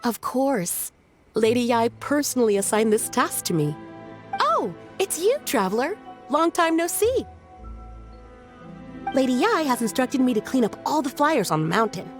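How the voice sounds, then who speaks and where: Earnest, high-pitched young shrine maiden. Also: female voice, measured pace, soft background music. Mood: dutiful, surprised. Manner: earnest, questioning, expressive. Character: earnest, worrying young shrine maiden, dutiful sister type. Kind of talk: English video game voice acting.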